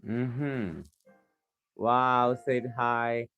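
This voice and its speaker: A man's voice: mid-range voice, steady tone, extremely slow, fairly bright tone, clear, resonant tone, soft background music. A man from Kenya, a rug maker chatting casually on a livestream and answering viewers' questions with a helpful, rambling manner. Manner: chatty, helpful, lots of restarts.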